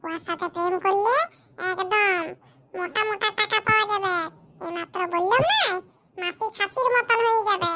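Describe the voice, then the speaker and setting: A performer voicing a squeaky, indignant young man in a comedy cartoon, bickering. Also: moderate pace, background noise. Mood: scheming, bickering. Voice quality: squeaky, artificially high voice. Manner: scolding, bickering, rhetorical questions. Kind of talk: exaggerated comic cartoon dialogue in rural dialect.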